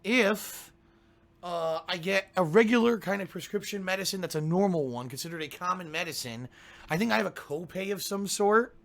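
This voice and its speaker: Hoarse, gravelly man, sick with a cold. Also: high voice, moderate pace, fairly bright tone. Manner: grumbling, ranting, keeps clearing his throat. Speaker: game streamer. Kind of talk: casual pre-stream chat to viewers.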